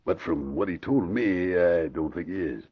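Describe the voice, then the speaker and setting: An actor playing a brisk, blustery police inspector in an old radio crime drama. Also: male voice, deep voice, slightly rough. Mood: brisk, confident. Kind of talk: 1940s radio drama acting. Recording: muffled old radio recording.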